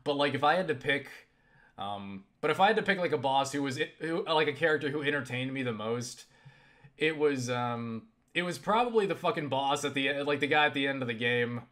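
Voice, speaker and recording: A man chatting casually and haltingly about games, swearing freely in an animated way. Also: medium pitch. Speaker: video creator answering viewer questions. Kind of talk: casual rambling game chat.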